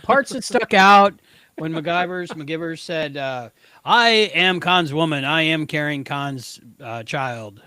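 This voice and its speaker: A man's voice: fairly high pitch, expressive, unhurried, sharp tone, clear, resonant tone, no room sound. A man co-hosting a podcast, chatting casually in a joking, offhand way. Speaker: podcast co-host. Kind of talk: casual banter about a show.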